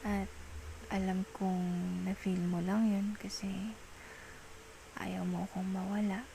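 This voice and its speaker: Woman acting a soft, slow-talking Filipino girlfriend. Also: mid-range voice, level tone, slight background noise. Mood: loving, earnest. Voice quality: soft, breathy, often slipping into whispers. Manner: slow, tender, mixes Tagalog with English. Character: affectionate, patient, reassuring young girlfriend soothing a partner. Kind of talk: girlfriend ASMR roleplay, soft intimate speech.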